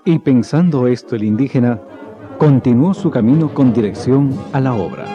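A man narrating a legend in a radio drama with measured storytelling. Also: mid-range voice, lively intonation. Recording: dull lo-fi recording with faint music.

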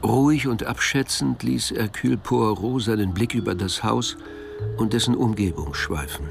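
A man narrating a radio drama, telling the story in a measured, precise literary style. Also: fairly low pitch, gravelly. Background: music.

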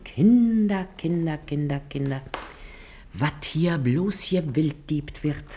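An actress playing a shrewd, bossy middle-aged working-class wife in a radio play, speaking blunt eastern German dialect. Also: female voice, sits low, takes it slowly, dark tone, a little hiss or hum. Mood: feigning innocence. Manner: folksy, blunt, dialect-heavy.

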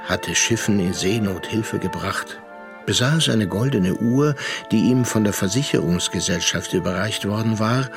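An actor in a German radio drama playing a worldly, sardonic narrator, telling his story in a measured, reflective way. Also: lowish voice. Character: sardonic, worldly gentleman narrator recounting a sea inquiry.

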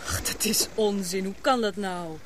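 An earnest young woman played by an actress in a radio drama. She is questioning and expressive, with hesitant phrasing and a mid-pitched voice. The old recording carries faint noise. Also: measured pace, natural voice. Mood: doubtful, worried.

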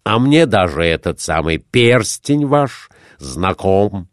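Man reading as a low-voiced, suspicious merchant. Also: very animated, dry recording, clean studio sound, exaggerated voice. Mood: suspicious, probing. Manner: measured, pointed questioning. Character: blunt, probing Russian merchant, suspicious, persistent.

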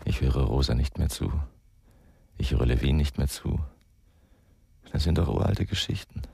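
An actor playing a world-weary, bitter, middle-aged ex-policeman with a husky, gravelly voice in a radio crime drama. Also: rumbling low, expressive, moderate pace, mellow, no echo, clean studio sound, heavily acted. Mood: weary, brooding.

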